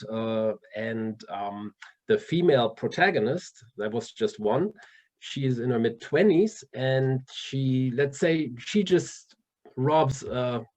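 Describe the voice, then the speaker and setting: A man's voice: mid-range voice, darkish tone, highs cut off. A man with a German accent, an author chatting casually in an interview, often restarting his sentences with fillers. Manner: hesitant, many restarts and fillers, laughs often. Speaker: author guest.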